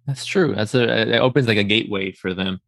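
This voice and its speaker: A man's voice: lowish voice, a little fast, gravelly, a bit bright, no room sound. A man hosting a casual interview, reacting with effusive enthusiasm. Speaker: podcast host.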